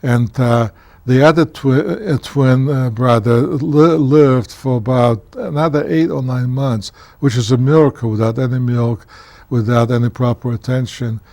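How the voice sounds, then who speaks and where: A man's voice: mid-range voice, darkish tone, no room sound. Older Polish-born man, dry sound. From Poland. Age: 70s. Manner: earnest, halting, measured recollection. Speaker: guest author, former county commissioner. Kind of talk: recounting his life story in a TV interview.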